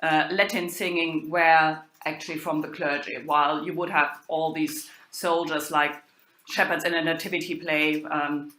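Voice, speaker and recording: A woman giving an academic lecture in a halting way, pausing and hesitating often. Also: low voice, level tone, fairly bright tone. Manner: halting delivery with long hesitations. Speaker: lecturer, university professor. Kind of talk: spoken lecture on old drama texts. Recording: echoey room.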